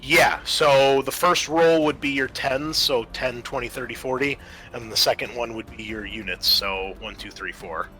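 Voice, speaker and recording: A man's voice: medium pitch, moderate pace, bright, forceful tone, faint music behind, rough recording. A man acting as game master, explaining tabletop game rules step by step.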